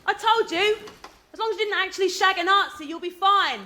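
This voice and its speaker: A woman's voice: very high-pitched, a little fast, sharp tone, a little hiss or hum. A woman playing a gossipy, suspicious, hard-bitten companion with a wry, sardonic way of talking in an audio drama. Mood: dismissive, needling. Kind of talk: audio drama narration and acting.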